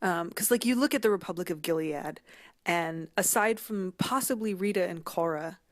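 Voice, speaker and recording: A woman giving conversational analysis as a guest commentator, thoughtful and halting as she searches for words. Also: lowish voice, dry recording, clean studio sound.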